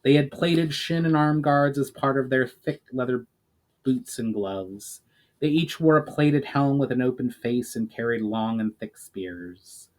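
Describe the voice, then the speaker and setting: A man narrates a fantasy tale in a dramatic storytelling style. His voice sits at a middle pitch, and he now and then trips on a word and reads it again. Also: lively intonation, a little slow, a little mellow. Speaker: author reading own book, channel host.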